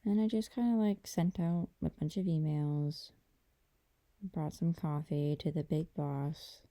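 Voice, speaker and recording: A woman playing a gentle, affectionate girlfriend in an intimate ASMR roleplay, talking softly and hesitantly to a partner. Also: steady tone. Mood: relaxed, affectionate. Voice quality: dark, creaky low voice. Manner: soft, hesitant, unhurried conversational delivery.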